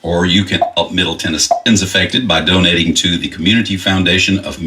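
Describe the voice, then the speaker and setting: A man's voice: deep voice, fairly quick, bright, forceful tone. An American man working as a radio DJ, reading scripted announcements in a public radio style. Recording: radio broadcast heard from far off.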